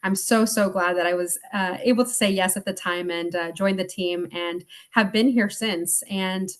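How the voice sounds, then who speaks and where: A woman's voice: mid-range voice, steady tone, normal speed. A woman in her thirties speaking on a webinar over a video call, chatty and upbeat, with frequent fillers. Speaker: marketing communications specialist. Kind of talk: webinar presentation.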